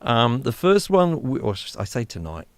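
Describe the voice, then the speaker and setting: A man hosting a casual live music-production stream, chatty and quick to laugh. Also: mid-range voice, vocal fry, no echo. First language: English. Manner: chatty, laughing, restarts, lively. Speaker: host.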